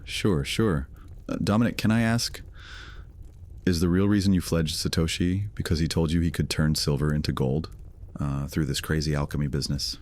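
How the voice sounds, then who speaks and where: A cocky vampire loner with a very deep voice, as a man acts him out. He talks casually and mocks everyone around him with dry sarcasm. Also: fairly even intonation, moderate pace, darkish tone. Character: cocky male vampire loner, sardonic and teasing. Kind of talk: fantasy audio drama acting.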